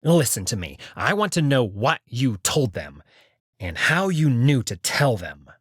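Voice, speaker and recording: An imperious wolf aristocrat from a visual novel, acted by a man, menacing and quick to disgust. He speaks slowly with sneering, swooping intonation, recorded dry. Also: mid-range voice, sing-song, breathy edge, no echo. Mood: menacing, scornful. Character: haughty male alien wolf noble, menacing, easily disgusted.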